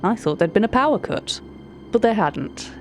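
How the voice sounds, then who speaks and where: A woman's voice: mid-range voice, animated, moderate pace, a little mellow, faint music behind, close to an everyday voice. A woman playing an anxious, wordy narrator confiding strange events in an audio drama monologue. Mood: awed, unsettled. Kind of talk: audio drama monologue, recorded-tape account.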